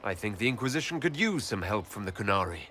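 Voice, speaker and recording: Elf leader, composed but skeptical, bright voice, really varied pitch, fantasy game w background noise. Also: male voice, very animated, fast. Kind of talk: video game cutscene acting.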